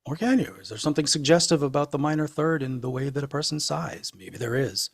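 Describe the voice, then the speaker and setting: A man's voice: on the high side, fast, creaky, breathy edge. A man co-hosting a music show, explaining theory conversationally in a reflective, wordy way, thinking aloud.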